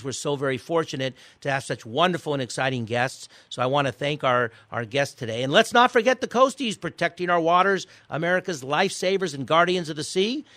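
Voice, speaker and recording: A man hosting a weekend radio talk show, chatting with guests in a halting way with many restarts, then reading the sign-off. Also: fairly high pitch, a bit bright, no room sound. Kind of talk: casual radio interview and sign-off.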